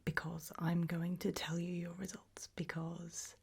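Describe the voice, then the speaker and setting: A British woman doing an ASMR roleplay as a doctor, whispering gently and breathily, with hesitant pauses. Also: lowish voice, completely flat delivery, vocal fry, slightly rough.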